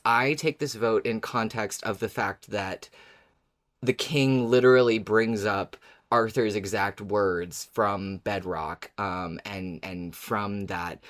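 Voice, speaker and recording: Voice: low voice, a bit bright. A podcast co-host in casual discussion, agreeable and thoughtful while working through a point.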